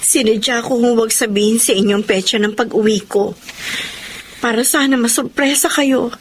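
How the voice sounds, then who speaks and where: A woman's voice: a little high, fairly even intonation, normal speed, slight background noise, natural voice. A woman playing a hurt, dutiful young elder sister in a radio-style drama, plaintive and reproachful, with a Filipino accent. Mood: reproachful, tearful.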